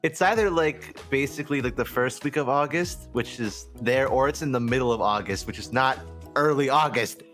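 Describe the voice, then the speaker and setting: A man's voice: medium pitch, moderate pace, a bit bright. A man talking casually in a gaming podcast discussion. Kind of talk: casual podcast chat about a video game. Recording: music behind the voice.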